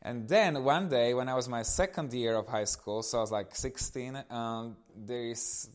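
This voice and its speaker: A man in his thirties with a Slovak accent and a mid-range voice gives an informal personal testimony. He talks to his audience as a guest speaker. His storytelling is animated, with self-amused asides. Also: mid-range voice, moderate pace. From eastern Slovakia.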